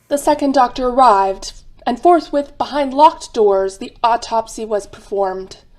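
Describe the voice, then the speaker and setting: A woman's voice: fairly high pitch, unhurried, poor audio. A woman narrating a scripted radio drama as its storyteller.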